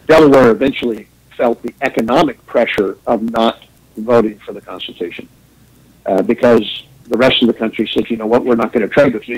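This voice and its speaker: A man's voice: mid-range voice, darkish tone, breathy edge, poor audio. A man who grew up in Japan, hosting a radio talk show, rambling through an opinionated monologue with wry chuckles.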